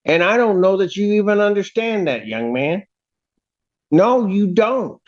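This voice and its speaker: A woman's voice: mid-range voice, animated, measured pace, darkish tone. An angry woman judge speaking sternly from the bench, scolding bluntly and emphatically. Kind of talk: stern courtroom address. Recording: dull, lo-fi courtroom audio.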